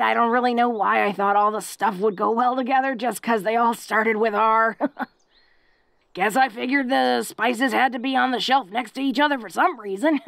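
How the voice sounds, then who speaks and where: A woman playing a tough but bashful, devoted orc girlfriend in an intimate audio roleplay, talking in an awkward, halting, self-deprecating way. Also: fairly high pitch, vocal fry, no room sound.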